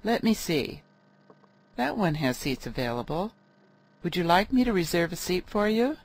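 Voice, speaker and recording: A man's voice: high-pitched, lively intonation, normal speed. A man playing a polite airline ticket agent in a scripted lesson dialogue.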